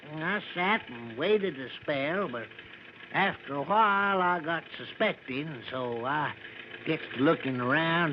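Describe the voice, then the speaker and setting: Man acting folksy rural American local, higher-pitched. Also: natural voice. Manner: folksy, drawn-out storytelling with dialect grammar. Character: folksy backwoods local driver, grimly gossiping, deferential. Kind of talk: vintage radio drama acting. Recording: muffled, noisy vintage radio broadcast.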